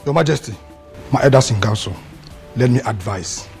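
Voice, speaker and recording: A man playing a calm elder who gives measured, formal counsel to a king. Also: mid-range voice, faint music behind, close to an everyday voice.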